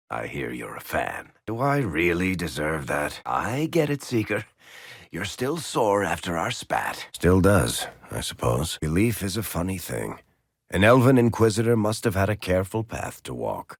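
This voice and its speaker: A man's voice: deep voice, slightly rough, no room sound, heavily acted. A man voicing a wry dwarf storyteller and rogue in a video game, full of dry, sardonic quips. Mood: wry, conversational. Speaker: video game companion character.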